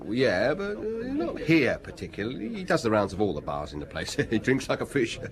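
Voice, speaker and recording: A man's voice: medium pitch, wildly swooping pitch, a little fast, crowd noise. An actor playing an easygoing, chatty barman in a radio drama, informal and obliging. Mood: gossipy.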